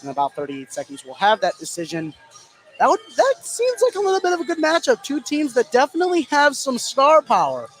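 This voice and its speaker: A man's voice: very high-pitched, huge pitch swings, a little fast, faint music behind, poor audio. A man doing live play-by-play basketball commentary as a radio sports announcer. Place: indoor basketball gym, live radio broadcast. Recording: clear background noise, live gym ambience.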